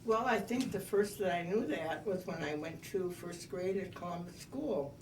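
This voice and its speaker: A woman in her eighties telling her life story in an oral history interview, measured and reminiscing with frequent pauses. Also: lowish voice, a little mellow, echoey room, recorded from a distance, slight background noise. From United States. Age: 80s.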